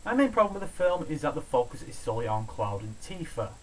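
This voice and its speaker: A man's voice: fairly high pitch, fast talker, rough recording. Sardonic man narrating a review, hissy recording. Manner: sardonic, opinionated, sweary. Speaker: video reviewer, host of a countdown show. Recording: steady background hiss, amateur home recording.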